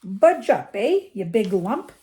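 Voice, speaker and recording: A woman's voice: medium pitch, big pitch swings, takes it slowly, mellow. A woman voicing a hearty, friendly giant man in a children's storybook, brash and folksy. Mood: blunt, jovial. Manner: boisterous, folksy, informal.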